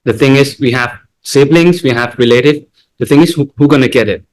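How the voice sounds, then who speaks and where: A young actor from Thailand speaking English with a Thai accent, halting and repeating words as he searches for phrasing. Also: medium pitch, slight background noise, low-quality recording.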